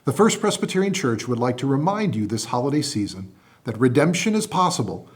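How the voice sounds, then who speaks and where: Guy doing a scripted church radio message, medium pitch, very varied intonation. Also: very animated, a little fast. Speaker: announcer.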